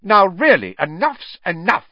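A man's voice: high voice, very animated, unhurried, no room sound, muffled recording, voice pushed up, exaggerated voice. A man voicing a stern, indignant older female schoolteacher in an audiobook, with a clipped, scolding manner. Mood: exasperated, stern.

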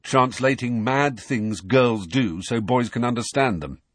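A grumpy teenage boy, done by a male reader, blunt and sarcastic. His storybook voice is surly and a little rough, recorded dry and close. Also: mid-range voice, a little slow, fairly bright tone, no room sound.

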